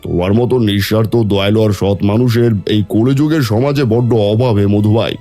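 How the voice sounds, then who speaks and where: A man voicing a dignified, grateful landlord in an animated melodrama, solemn and formal. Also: on the low side, moderate pace, faint music behind, lightly acted. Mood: generous, formal.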